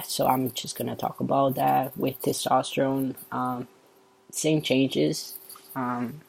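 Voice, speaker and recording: A man with a soft, androgynous-sounding voice, talking through a casual update vlog in a relaxed, unhurried way. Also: medium pitch, gravelly, husky, rough recording. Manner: relaxed and unhurried, with frequent ums. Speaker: vlogger.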